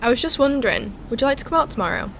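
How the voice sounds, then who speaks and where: A woman's voice: sits high, animated, rapid-fire, gravelly, slight background noise. A young woman playing a friendly, forward student in a natural audio drama style. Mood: friendly, eager.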